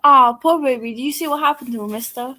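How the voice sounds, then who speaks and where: A woman's voice: sits high, fast, sharp tone. A woman playing a brash working-class street woman in old-style radio drama, scrappy and colloquial. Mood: chatty. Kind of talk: 1940s radio drama acting.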